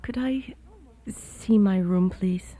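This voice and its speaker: Wistful young wife, very muted tone. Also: female voice, medium pitch, slow pace, warm tone. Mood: weary politeness. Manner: hesitant, polite, restrained. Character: weary, reserved, wistful young Southern wife. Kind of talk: period radio drama acting.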